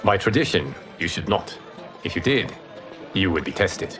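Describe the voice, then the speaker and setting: A man playing a calm, wise, solemn mentor in a video game, explaining things in measured, formal speech. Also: low-pitched, over music. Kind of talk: dramatic video game acting.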